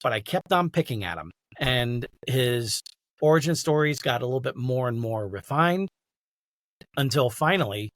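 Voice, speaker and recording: A man's voice: mid-range voice, lively intonation, normal speed, a bit bright. A middle-aged American man from New York City, speaking conversationally as a podcast guest and audiobook narrator. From New York City, United States. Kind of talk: conversational interview.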